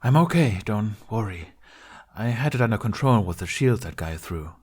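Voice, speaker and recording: A man's voice: on the low side, animated, moderate pace, slightly rough. Man playing a flippant young prankster. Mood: reassuring. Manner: flippant, joking. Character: mischievous, breezy young male stage player.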